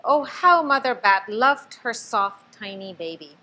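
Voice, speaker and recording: A woman reading a children's picture book aloud, expressive, stopping to ask the listener questions. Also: on the high side, measured pace, poor audio. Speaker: storybook reader.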